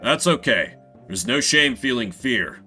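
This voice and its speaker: Man as a calm, courteous older mouse brother. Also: medium pitch, a little slow, faint music behind, voice pushed down. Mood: reassuring. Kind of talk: video game dialogue read aloud.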